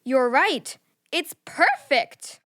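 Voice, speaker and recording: An actress voicing a spirited, curious young girl with a direct, expressive manner in a children's audio drama. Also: high-pitched, extremely slow, heavily acted. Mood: friendly, wry.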